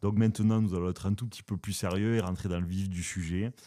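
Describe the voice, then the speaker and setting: A deep-voiced man with a southern French accent hosts a relaxed podcast chat. His tone is dark and mellow, and he talks haltingly, full of fillers and playful asides. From southern France. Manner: hesitant, many fillers, playful. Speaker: podcast host.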